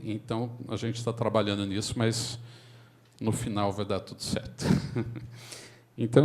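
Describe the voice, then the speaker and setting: A man's voice: medium pitch, darkish tone. A Brazilian man hosting an event who gives a friendly, formal introduction that reads out a speaker's résumé. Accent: Brazilian Portuguese.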